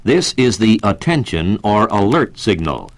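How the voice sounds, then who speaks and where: An American man reading a scripted 1960s civil defense radio announcement in a measured, formal, instructional manner. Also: fairly low pitch, sing-song, no echo. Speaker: public information announcer.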